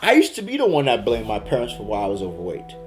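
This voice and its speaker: Young man, fitness coach, from Haiti, blunt, casual slang, animated delivery. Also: mid-range voice, fast talker, over music. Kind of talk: motivational talk to camera.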